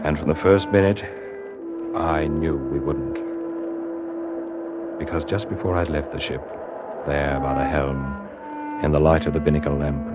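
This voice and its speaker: A man's voice: extremely low pitch, steady tone, measured pace, pauses often. An actor playing an earnest young seaman, telling his tale with dramatic intensity in a 1940s radio drama. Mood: somber. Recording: muffled old radio recording, loud music.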